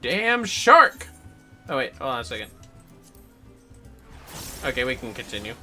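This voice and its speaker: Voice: mid-range voice, very animated, unhurried, bright, forceful tone, soft background music. A gamer giving casual livestream commentary in a playful, reactive, sweary way. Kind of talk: casual game-stream commentary.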